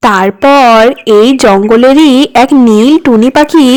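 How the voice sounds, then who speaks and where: A woman narrates a children's tale in a high-pitched voice. The sound is smooth and clean, suited to scripted cartoon storytelling. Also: slow, a little mellow, rough recording.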